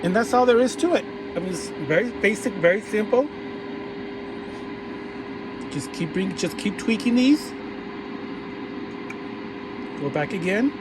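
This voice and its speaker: A man casually narrating a hands-on radio repair tutorial while he works, relaxed and restarting his phrases often. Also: high-pitched, unhurried, pauses often. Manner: relaxed, talks while working, frequent restarts. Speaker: vintage radio repair hobbyist. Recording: audible background noise.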